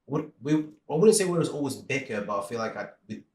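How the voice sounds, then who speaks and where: A man in his thirties, from the Philippines, talking as a podcast guest in a relaxed, rambling way with many restarts. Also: mid-range voice, a little fast, reverberant. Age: 30s. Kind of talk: casual interview chat.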